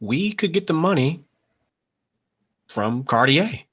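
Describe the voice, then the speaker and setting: A man's voice: on the high side, very animated, slow pace, fairly bright tone, slightly rough, heavily acted. A man playing a desperate, insistent young schemer in a screenplay table read, pleading with feeling. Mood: persuasive.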